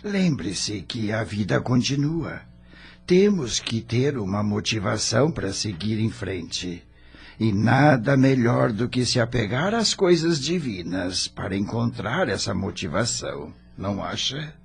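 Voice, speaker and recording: A man's voice: on the low side, lively intonation, measured pace, vocal fry, slightly rough, heavily acted. An actor with a Brazilian Portuguese accent playing a kindly, pious father who counsels in a paternal way in an audio drama. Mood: consoling.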